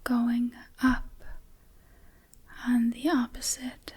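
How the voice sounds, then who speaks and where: A woman whispers a guided meditation in a very breathy, airy voice. She goes extremely slowly, with long pauses between short phrases. Her delivery is soothing and stays on an even, narrow line of pitch. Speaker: ASMR host, meditation guide.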